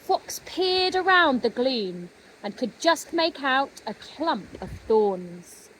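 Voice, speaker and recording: Slow, high-voiced woman reading a storybook. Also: sing-song, a bit bright, a little hiss or hum, low-quality recording. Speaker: storybook reader. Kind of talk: scripted children's story narration.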